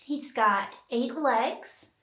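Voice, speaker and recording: A woman hosting a puppet storytime, talking to young children in an exaggerated, sing-song way with questions and dramatic pauses. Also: high voice, fairly bright tone, echoey room.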